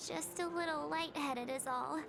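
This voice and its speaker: A woman's voice: high-pitched, normal speed, gravelly, noisy background. A woman voicing a perky, earnest young adventurer girl in an anime game. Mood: curious, hopeful. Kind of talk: scripted anime game dialogue. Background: music.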